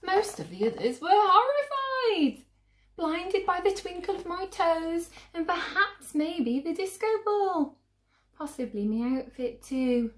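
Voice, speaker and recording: High, animated woman reading a storybook. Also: roomy sound. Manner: theatrical, drawn-out emphasis for young listeners. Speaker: children's story reader. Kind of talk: expressive picture-book read-aloud.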